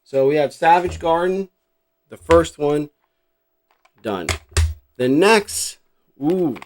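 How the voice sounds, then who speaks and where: A man's voice: a little high, lively intonation, slow pace, darkish tone, slight background noise. A middle-aged American man from New Jersey talking casually and reflectively as a vlog host, rambling and thinking aloud without a script. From New Jersey, United States. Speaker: host showing a cassette collection. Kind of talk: casual unscripted vlog chat.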